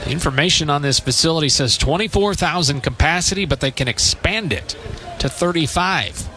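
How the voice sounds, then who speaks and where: A man's voice: fairly high pitch, brisk, pushed and bright. A man doing live radio baseball play-by-play, giving clipped calls and statistics from a stadium. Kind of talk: live radio sports commentary.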